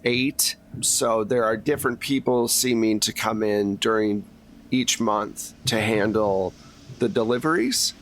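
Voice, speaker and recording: A man's voice: medium pitch, a bit bright, a little hiss or hum. A man running a tabletop roleplaying game, improvising descriptive narration in a conversational way. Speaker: game master.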